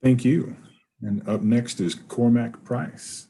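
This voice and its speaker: Gravelly, husky male session moderator. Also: medium pitch, slow pace, warm tone. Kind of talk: conference moderating. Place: online video conference.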